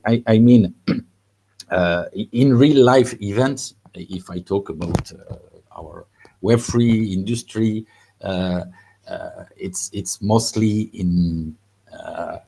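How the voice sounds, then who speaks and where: A man moderating a panel discussion, speaking haltingly with many "uh"s and repeated words. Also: lowish voice, a little mellow.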